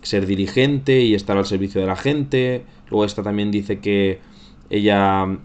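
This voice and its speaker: Spanish guy from spain w deep voice, chatting about the news on stream, repeats and rewords stuff a lot. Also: unhurried. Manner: conversational, repeats and rephrases phrases. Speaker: host of a current affairs livestream. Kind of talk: casual live news commentary.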